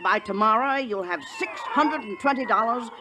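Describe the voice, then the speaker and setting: An actress playing a brash, smitten American saloon-keeper in a radio western, with a coarse, throaty voice. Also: female voice, a little high, normal speed, lightly acted. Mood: eager. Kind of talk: 1950s radio western acting. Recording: noisy 1950s radio recording.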